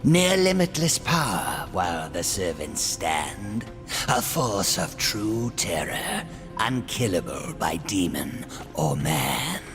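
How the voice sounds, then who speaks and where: An actor playing an ominous herald in a dark fantasy game, delivering verse in a portentous, theatrical manner. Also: male voice, sits low, big pitch swings, takes it slowly, over music, full character voice.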